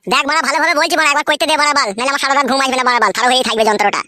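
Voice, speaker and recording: A man's voice: very high-pitched, very fast, sharp tone, dry recording, clean studio sound, full character voice. A man voicing a whiny, foul-mouthed young husband in an amateur comic cartoon, crude and pleading in his delivery. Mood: insistent, angry.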